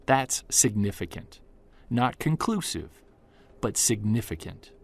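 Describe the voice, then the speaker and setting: An actor playing an earnest male Bigfoot investigator who narrates in the first person, measured and defiant toward skeptics. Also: lowish voice, big pitch swings, vocal fry, husky, exaggerated voice. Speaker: narrator and lead character. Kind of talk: audio drama narration.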